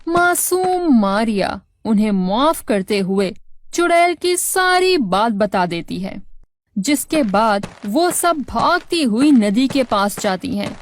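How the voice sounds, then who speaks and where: A woman's voice: a little high, sing-song, slow, a little hiss or hum. A woman narrating a scripted moral story for children as a storyteller.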